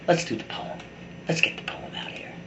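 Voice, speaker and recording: A middle-aged man giving a rambling, blunt and opinionated personal monologue, like a video blogger talking to his camera. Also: fairly quick. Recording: echoey room with faint background noise.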